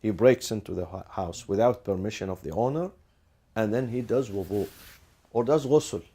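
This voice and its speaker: A man's voice: on the low side, normal speed, dark tone, slightly rough. A man teaching a class, posing rhetorical questions, answering them himself and repeating key phrases, with Arabic legal terms mixed in. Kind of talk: classroom lecture.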